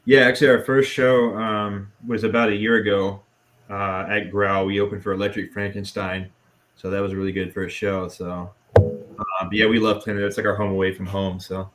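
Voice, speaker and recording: A man's voice: lowish voice, level tone, moderate pace, a bit bright. A man chatting casually as a guest musician in an interview, laid-back and self-deprecating. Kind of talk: casual chat, being interviewed.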